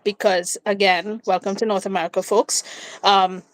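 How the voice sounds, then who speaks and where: Woman, Trinidadian accent, playful casual live chat, animated storyteller, mid-range voice, very dry room sound. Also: medium pitch, moderate pace, no echo. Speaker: co-host of a live watchalong. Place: indoors in a room at home.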